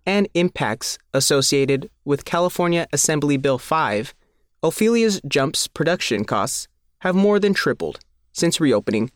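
A man's voice: on the high side, no echo, studio quality. A man reading a scripted newspaper feature aloud as the reporter. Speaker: reporter reading their own article.

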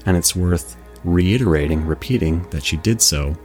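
A man's voice: low-pitched, normal speed, faint music behind. A man hosting a podcast, delivering scripted film commentary in an articulate, formal manner. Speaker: podcast host.

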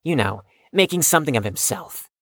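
Man acting a bitter young man, animated pitch swings. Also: fairly quick, no room sound, clean studio sound. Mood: wry, reserved. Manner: formal wording, precise, defensive. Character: bitter, wounded young man, competitive and self-doubting. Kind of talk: audio drama acting.

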